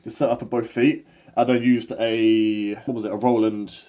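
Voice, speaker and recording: A man's voice: fairly low pitch, flat delivery, normal speed, dark tone. A man of twenty-one, a drummer, rambling casually to camera with lots of swearing and jokes. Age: 20s. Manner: profane, loose and jokey. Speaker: drummer and video host.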